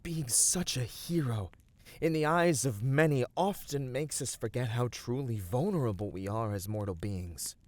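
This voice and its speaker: A man playing a gentle, devoted male battle dancer of a fantasy race in an ASMR roleplay, speaking earnestly and soothingly with dramatic pauses. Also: mid-range voice, lightly acted. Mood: tender concern. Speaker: voice actor.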